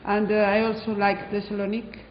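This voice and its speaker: A woman's voice: medium pitch, monotone, fairly quick, a little hiss or hum. Spanish-accented woman, hesitant, in a roomy hall. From Spain. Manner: hesitant, many fillers. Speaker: head teacher. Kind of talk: interview answers in non-native English. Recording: roomy hall, dull lo-fi sound.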